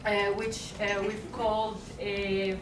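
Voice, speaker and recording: A woman presenting at a conference panel, speaking haltingly with many fillers and restarts. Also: medium pitch, flat delivery. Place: conference hall. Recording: echoey room, far mic, noisy.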